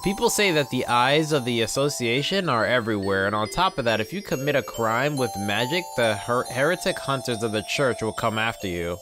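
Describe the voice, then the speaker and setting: A man's voice: mid-range voice, animated, fairly quick, hardly any pauses, music in the background. A man hosting a gameplay video, reading visual novel text aloud and dropping in informal asides. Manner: informal, reacts to the text he reads.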